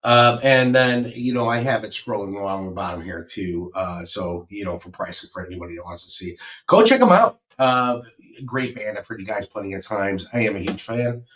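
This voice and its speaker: A man's voice: lowish voice, expressive, moderate pace, fairly bright tone. A man co-hosting a show, upbeat and rambling with many fillers as he plugs an event. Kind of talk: casual show chat. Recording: distant mic with faint noise.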